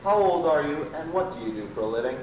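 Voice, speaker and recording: A man playing a pompous, badgering prosecutor in an amateur radio comedy, with a stumbling, halting delivery. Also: fairly high pitch, animated, dull audio, natural voice. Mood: probing. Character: pompous, badgering male prosecuting lawyer in sci-fi parody. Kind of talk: amateur old-time radio comedy acting. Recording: roomy, distant, noisy amateur live recording.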